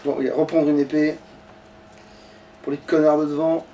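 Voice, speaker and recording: A man's voice: on the high side, flat delivery, moderate pace, mellow, a little hiss or hum. A man grumbling and swearing casually as he comments on the video game he is playing. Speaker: gaming let's play player. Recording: distant mic, game music underneath.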